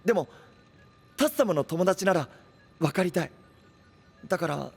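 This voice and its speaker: Casting: naive young anime hero, slightly high boyish voice, blunt and sincere, light music behind. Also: male voice, lively intonation, normal speed, vocal fry, a little mellow, breathy edge, exaggerated voice. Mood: earnest. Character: earnest, simple-minded young male hero who speaks plainly. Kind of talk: anime-style audio drama acting.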